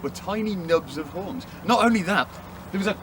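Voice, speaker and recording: A man telling a pirate story live to an audience outdoors, lively and playful with little hesitations. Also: sits high, moderate pace. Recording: outdoor street noise.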